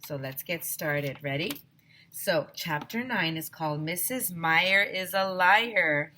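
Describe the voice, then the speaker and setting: A woman teacher reading a children's story aloud, expressively, slowing down for emphasis. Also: lowish voice, bright, forceful tone.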